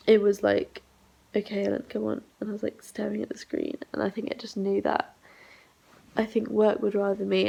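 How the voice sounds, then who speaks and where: A young woman vlogging at home while sick and congested, rambling in a low, half-whispered voice. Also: gravelly, a little mellow, husky, low-quality recording. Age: young adult. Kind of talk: casual diary vlog. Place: flat, indoors.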